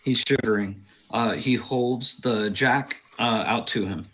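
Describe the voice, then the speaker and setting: A man's voice: mid-range voice, a little slow, fairly bright tone, poor audio. A man directing a table read, reading stage directions aloud and adding casual asides.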